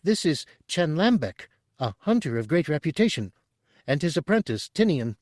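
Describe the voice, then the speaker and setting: A man voicing a small, squeaky, nervous mouse-like male alien who stammers eagerly. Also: sing-song, moderate pace, darkish tone, no echo, clean studio sound.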